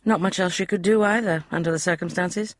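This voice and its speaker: A woman's voice: fairly low pitch, a little fast, no echo, pitched above the performer's own voice, close to an everyday voice. A woman voicing a composed, genteel young lady who speaks politely and dryly. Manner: polite, dry.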